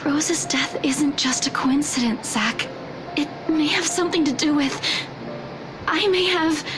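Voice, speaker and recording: A woman's voice: sits high, a little slow, over music. An actress playing an anxious, earnest young woman in a visual novel game, hesitant and weighed down by guilt, putting others first. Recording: clear noise behind the voice.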